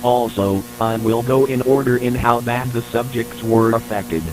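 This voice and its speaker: A man's voice: mid-range voice, steady tone, moderate pace, over music. A man reading a scripted, formal, matter-of-fact report as the narrator of an analog horror tape. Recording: noisy recording with electrical hum.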